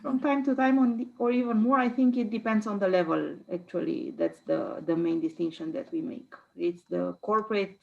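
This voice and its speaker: A woman's voice: mid-range voice, fairly even intonation, moderate pace, creaky, hardly any pauses. A woman, speaking as a panelist on a video call, matter-of-fact. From Romania. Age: 40s. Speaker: panelist, lawyer. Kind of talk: online panel discussion. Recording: dull lo-fi call audio.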